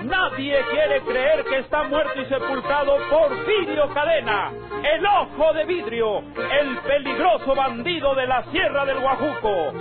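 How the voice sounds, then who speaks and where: Casting: male serial announcer, very high dramatic voice, music behind, thin vintage radio sound. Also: measured pace. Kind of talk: dramatic scripted narration, old radio serial. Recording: tinny old radio recording, loud music.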